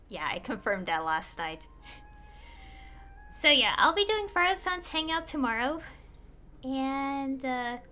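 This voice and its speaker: A woman's voice: on the high side, bright, forceful tone. A woman talking casually and in a rambling way, as a streamer commentating on a game. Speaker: game livestreamer. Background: game music.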